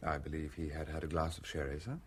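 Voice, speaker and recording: A man playing a discreet, self-serving valet in a radio drama, speaking with formal, measured and guarded politeness. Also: extremely low pitch, level tone, husky. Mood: evasive. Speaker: actor in a radio play. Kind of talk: British radio drama dialogue.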